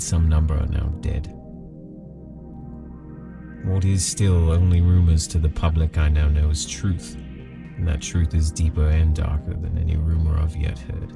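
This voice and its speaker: A man playing a grave, indignant company executive dictating a formal, measured personal log in an audio drama, with a gravelly voice. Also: recorded from a distance. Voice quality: deep, gravelly.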